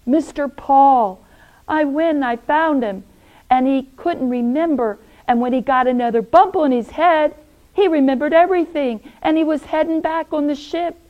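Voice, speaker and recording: A woman voicing a friendly, excitable, slightly shy male dragon in a children's story. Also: high-pitched, normal speed, phone-quality sound, voice pushed up, lightly acted. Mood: excited, amazed.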